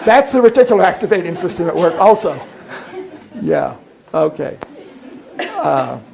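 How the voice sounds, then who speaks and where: A man's voice: fairly high pitch, very animated, normal speed, vocal fry, crowd noise. An older man presenting a workshop, speaking conversationally to a room and often checking in with his listeners. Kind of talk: informal talk to a group. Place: meeting room with an audience.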